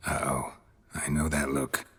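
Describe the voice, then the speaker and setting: An actor playing a stoic, dry monster hunter in a video game, with a terse, understated delivery. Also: male voice, rumbling low, level tone, measured pace, a bit bright, slightly rough, full character voice. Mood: dry, guarded. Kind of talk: low-key video game acting.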